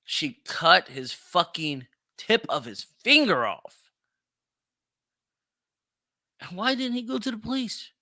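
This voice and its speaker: Animated, high-pitched man giving his take. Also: takes it slowly, long pauses, dry recording, clean studio sound. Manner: emphatic, repeats phrases for effect. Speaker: podcast host. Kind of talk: opinionated solo commentary.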